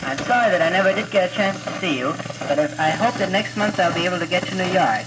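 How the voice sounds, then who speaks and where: A man's voice: high-pitched, quick pace. Scratchy 1940s recording of a man greeting. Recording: scratchy, noisy 1940s home disc.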